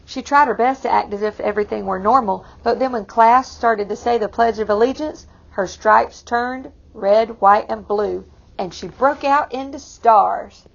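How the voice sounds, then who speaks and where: A woman reading a picture book aloud to children, expressively, pausing to explain it in simple asides. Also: a little high, vocal fry, muffled recording. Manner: expressive, explains the story to young listeners. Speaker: read-aloud storyteller for children.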